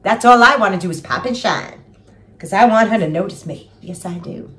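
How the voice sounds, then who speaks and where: A woman's voice: mid-range voice, normal speed, a bit bright, natural voice. A woman doing a gushing superfan housewife. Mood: excited. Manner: gushing, chatty, talks to herself while doing makeup. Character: giddy Southern housewife and mother of seven, superfan, chatty. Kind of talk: comic character monologue.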